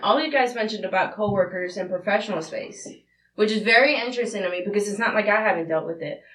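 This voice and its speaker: A woman's voice: mid-range voice, fairly bright tone, recorded from a distance. Blunt woman in her thirties, roomy. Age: 30s. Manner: passionate, blunt storytelling. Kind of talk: candid group discussion. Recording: roomy sound, away from the mic.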